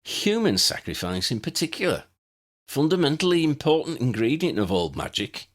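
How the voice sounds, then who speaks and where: A man's voice: medium pitch, big pitch swings, normal speed, voice pushed up, lightly acted. Man voicing a weak, boastful wizard villain. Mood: menacing. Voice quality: thin, weak. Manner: proud, defiant, with a dry cough. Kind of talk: audiobook character voice.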